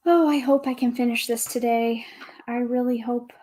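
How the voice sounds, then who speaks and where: A woman with a calm, soothing voice, chatting casually with viewers as a livestream host, greeting and thanking them as she goes. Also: on the high side, moderate pace. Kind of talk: casual livestream chat while building.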